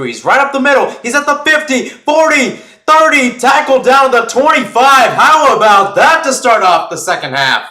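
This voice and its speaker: Male sports commentator, forceful bright voice, roomy echo, excitable play-by-play delivery, casual asides. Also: sits high. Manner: excitable, calls surging plays loudly then drops back to casual asides. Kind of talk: live American football radio-style commentary.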